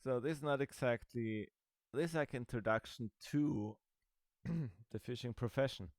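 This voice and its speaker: A man's voice: medium pitch, unhurried, no room sound. A man giving casual, rambling commentary over a game he is playing, with dry, sarcastic asides. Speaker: gaming video creator.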